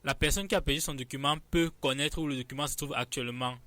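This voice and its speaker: A man's voice: medium pitch, fairly even intonation, fast talker, pushed and bright, no echo. A young man, a student and app developer, earnestly explaining his project step by step as a radio guest. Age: young adult. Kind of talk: radio interview.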